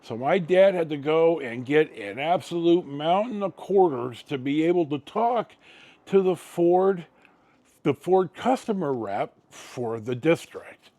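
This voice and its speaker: An American man in his sixties telling a personal story casually, in a halting, colloquial, conversational way. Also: a little high, lively intonation, warm tone. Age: 60s. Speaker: storyteller, vlog host.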